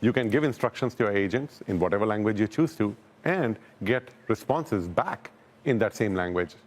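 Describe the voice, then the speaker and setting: A man speaking as a technology company executive in a live business news interview, explaining things calmly. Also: fairly low pitch, normal speed.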